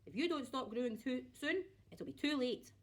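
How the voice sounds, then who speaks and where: A woman's voice: sits high, animated, normal speed, voice pushed up. A woman voicing a selfish, grumpy, tiny old grandmother who snaps and scolds bossily in a storybook reading. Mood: scolding. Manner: snapping, bossy, scolding.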